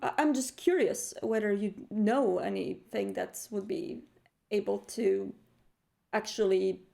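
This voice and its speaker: A woman's voice: medium pitch, unhurried, creaky, mellow. A woman improvising dialogue as a tabletop roleplay player, phrasing things politely and carefully, with a lot of hesitation.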